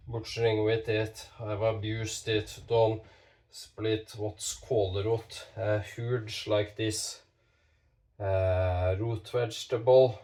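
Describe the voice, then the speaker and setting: A man with a stuffed-up nose walks through a sharpening demo at a slow, unhurried pace, often pausing and correcting himself. His voice sits a little low and sounds set back from the microphone. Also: a little mellow, recorded from a distance. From Norway. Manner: slow, halting, with pauses and self-corrections. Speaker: knife sharpening channel host. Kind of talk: casual hands-on demonstration.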